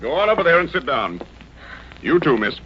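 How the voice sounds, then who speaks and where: American authoritative, composed police inspector, vintage radio drama, animated pitch, dull recording. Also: male voice, normal speed, a little hiss or hum. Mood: firm. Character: calm, authoritative male homicide police inspector. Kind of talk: old-time American radio detective drama acting.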